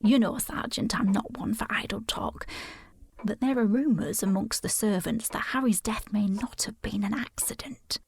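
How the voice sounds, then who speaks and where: An older female housekeeper in a period audio drama. Her voice is breathy and creaky at a middling pitch. She is genteel and deferential, with the confiding air of a polite gossip. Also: moderate pace, dry recording, whispered, studio quality. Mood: hushed, gossiping.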